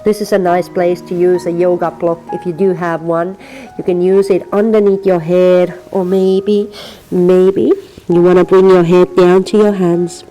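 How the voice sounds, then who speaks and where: A woman from Finland with a Finnish accent, teaching a gentle yin yoga class and softly cueing breath and movement. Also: lowish voice, level tone, measured pace, faint music behind, rough recording. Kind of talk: guided yin yoga instruction.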